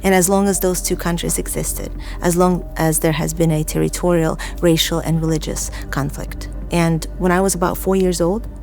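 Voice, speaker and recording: A woman who grew up in Azerbaijan and Russia gives a personal testimony. Her voice sits fairly low with noticeable vocal fry, and she speaks in a reflective, deliberate way over soft background music. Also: fairly even intonation. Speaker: church member giving testimony.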